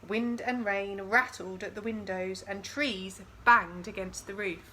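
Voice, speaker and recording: A woman reading a picture book aloud to young children in an expressive storytelling style, with chatty questions to the listeners. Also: medium pitch, measured pace, slight background noise, rough recording. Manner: addresses young listeners with questions and dramatised sound words.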